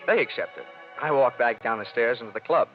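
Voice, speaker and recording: An American actor playing a wry, tough insurance investigator in a 1940s radio detective drama, with a clipped, sardonic delivery. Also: male voice, mid-range voice, brisk, faint music behind, lightly acted. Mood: dry narration. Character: wry, tough male freelance insurance investigator, hard-boiled. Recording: dull vintage 1940s radio recording.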